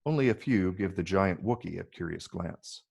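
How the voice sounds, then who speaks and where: A man with a gravelly, husky voice reading scripted screenplay narration. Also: low-pitched, lively intonation, moderate pace, darkish tone. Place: virtual call from separate location.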